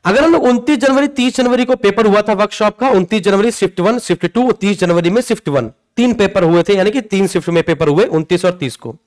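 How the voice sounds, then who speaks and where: A man's voice: high voice, fairly quick, hardly any pauses. A man giving an informal, explanatory lesson to students as a coaching teacher, emphatic and repetitive, often checking in with tag questions. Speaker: exam coaching teacher presenting a video.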